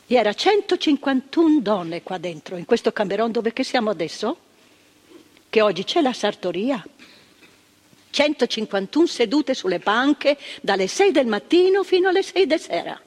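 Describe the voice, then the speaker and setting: A woman's voice: fairly high pitch, very animated, moderate pace. An actress playing a former woman asylum nurse, telling her memories in a plainspoken stage monologue that repeats phrases for emphasis. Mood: sober. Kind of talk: theatrical monologue of remembered testimony. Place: theatre stage. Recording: long stage reverb, radio broadcast.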